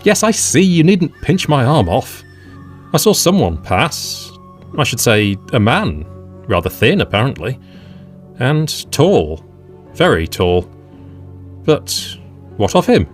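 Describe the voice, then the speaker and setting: An actor playing a level-headed, sceptical Victorian gentleman and avuncular friend in an audio drama, reasoning in formal period speech. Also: medium pitch, very animated, takes it slowly, long pauses, soft background music. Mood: puzzled, curious.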